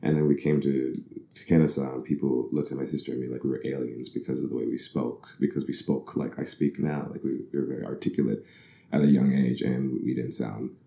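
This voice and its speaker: A man's voice: extremely low pitch, steady tone, brisk, gravelly, dark tone. A man in his thirties from the Bahamas, an actor being interviewed, telling his story thoughtfully, correcting himself often and laughing easily. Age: 30s. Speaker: actor guest. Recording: roomy echo.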